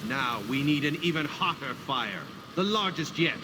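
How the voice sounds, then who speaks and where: Casting: man playing a devout fantasy forge master, slightly high male voice, animated and exhorting, game dialogue over clear background noise. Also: normal speed, close to an everyday voice. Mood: urging. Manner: formal, exhorting, emphatic. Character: hearty devout warrior smith, encouraging forge master. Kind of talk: video game character dialogue.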